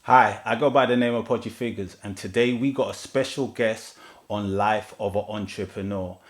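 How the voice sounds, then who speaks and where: A man's voice: fairly low pitch, normal speed. A man hosting an interview podcast, chatting in a laid-back, easygoing way with his guest. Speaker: podcast host. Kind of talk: casual interview chat.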